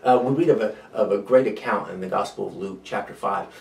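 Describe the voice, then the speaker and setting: A man delivers a devotional talk as a pastor, earnest and measured, pausing around repeated phrases. His voice sits on the low side with a gravelly fry. The recording is echoey, with the microphone some distance from him. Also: a little mellow. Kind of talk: short devotional sermon talk. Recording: roomy echo, distant microphone.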